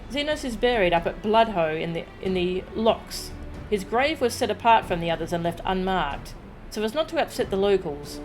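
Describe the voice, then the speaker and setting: A woman acts out a headstrong young female rebel leader from a video game. She is emphatic and emotional, quick to exclaim, at a medium pitch over faint music. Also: lively intonation, darkish tone, natural voice. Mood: reluctant, resolute. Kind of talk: dramatic read-aloud of video game dialogue.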